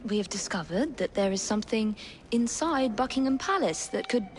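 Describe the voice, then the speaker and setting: An actress playing a composed, sharp-tongued young English woman with a precise, formal manner in a video game cutscene. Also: mid-range voice, expressive, normal speed, slight background noise, natural voice. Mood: polite, arch. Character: prim, composed, sharp-tongued young female spy, disapproving sister.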